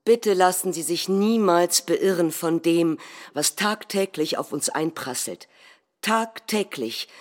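A woman acting in documentary theatre, playing a proud, fervent right-wing politician speaking emphatically to followers. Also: low-pitched. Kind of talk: staged political speech.

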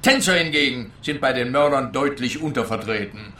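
Pompous policeman, pedantic and insistent, sharp bright tone, roomy sound, comic radio play. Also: mid-range voice, animated, moderate pace, slight background noise. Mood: pompous, lecturing. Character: pompous, self-assured policeman spouting absurd statistical theories. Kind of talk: German-language comic detective radio play.

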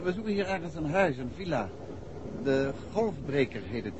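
An actor playing a calm, wry, polite amateur detective out with his wife in a 1960s Dutch radio drama. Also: fairly high pitch, normal speed. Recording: dull, noisy 1960s radio recording.

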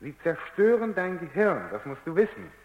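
A man's voice: on the high side, big pitch swings, normal speed, muffled recording. An actor playing a defensive, condescending male scientist in a German radio drama. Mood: patronising, instructive. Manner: condescending, stammers when flustered. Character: defensive, patronising male scientist, testy under questioning. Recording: echoey, reverberant radio drama recording.

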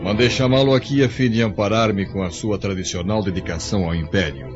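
An actor playing a dignified Roman emperor in a radio drama, formal and stately. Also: male voice, lowish voice, fairly quick, natural voice. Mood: formal, grave. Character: dignified Roman emperor addressing a trusted senator. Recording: dull lo-fi radio drama recording. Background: clear background music.

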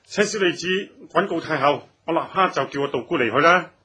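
Obsequious palace eunuch, Cantonese radio drama: higher-pitched, sharp, husky, eager to please. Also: male voice, fairly quick. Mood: fawning, anxious.

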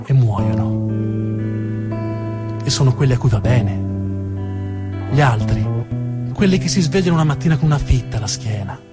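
A man narrates a scripted theatrical monologue in a deliberate way, with weighty pauses and repeated phrases. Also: mid-range voice, animated. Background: guitar music.

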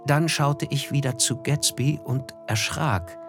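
A male actor plays a melancholy storyteller of about thirty in a literary radio play. His medium-pitched voice is measured and reflective, set over clearly audible music. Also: natural voice. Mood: uneasy. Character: reflective, melancholy thirty-year-old male narrator of a novel.

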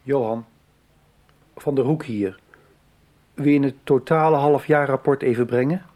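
A man's voice: mid-range voice, unhurried, a little mellow, close to an everyday voice. An actor playing a resigned, reticent middle-aged businessman in a radio soap. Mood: businesslike.

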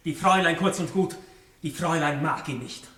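A man as a hot-headed, high-voiced young nobleman. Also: fairly quick, fairly bright tone, close to an everyday voice. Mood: cold, defiant. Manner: defiant, declamatory. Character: hot-headed, gallant seventeen-year-old noble youth. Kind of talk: radio play acting. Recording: roomy, noisy 1960s radio play recording.

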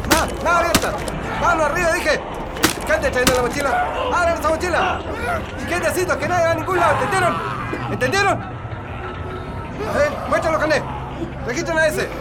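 An actor playing a bullying Chilean police officer in a radio drama, shouting threatening orders. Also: male voice, very high voice. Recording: noisy street scene with crowd. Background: crowd and traffic noise.

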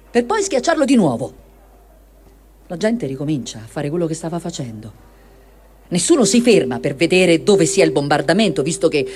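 A woman reads a written testimony in a dramatic, first-person style. Her delivery is measured and expressive, and she leaves deliberate pauses between phrases. Her voice sits at a middle pitch for a woman. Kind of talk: dramatic reading of a first-person war diary.

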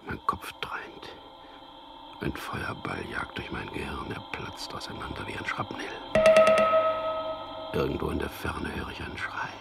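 An actor in a German radio drama playing a resolute man on a secret mission, narrating deliberately and introspectively in a gravelly, husky, hushed voice. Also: a bass voice, animated, whispered, over loud music, exaggerated voice. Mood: dazed, pained. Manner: deliberate, introspective, recounting events.

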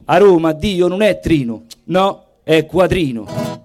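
Mellow, higher-voiced Roman man telling folksy stories. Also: expressive, slow, faint music behind. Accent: Roman Italian. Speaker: stage performer, storyteller and singer. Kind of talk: folksy live comic monologue in dialect.

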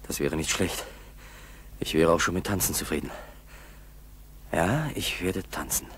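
An actor playing a determined, earnest young fighter pilot in a 1960s German radio play, with a husky voice. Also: male voice, low voice, unhurried, fairly bright tone, heavily acted. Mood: quietly determined. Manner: earnest, resolute. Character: determined young Soviet fighter pilot who lost both feet.

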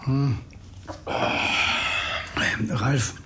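A man acting in a radio crime drama as a complacent, chummy county politician, self-justifying, with a creaky voice. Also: mid-range voice, steady tone, very slow, darkish tone, a little hiss or hum.

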